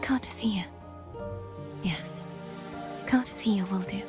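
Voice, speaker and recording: A woman playing a solemn, wistful young maiden knight in a video game, soft and restrained. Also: mid-range voice, takes it slowly, close to an everyday voice. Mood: wistful, tender. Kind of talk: English video game voice acting. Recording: game audio with clear music behind. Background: background music.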